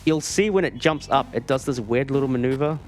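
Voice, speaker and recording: A man's voice: mid-range voice, a little fast. A man giving casual live commentary while playing a video game, with wry asides and broken-off sentences. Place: gaming marathon event, streamed. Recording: game music behind the voice. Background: video game music.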